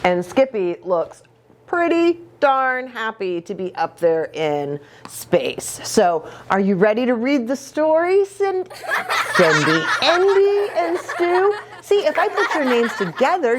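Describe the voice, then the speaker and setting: A woman's voice: high voice, big pitch swings, takes it slowly, background noise. A woman reading a picture book aloud to children in a playful, exaggerated, sing-song way. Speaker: children's ministry pastor reading a storybook.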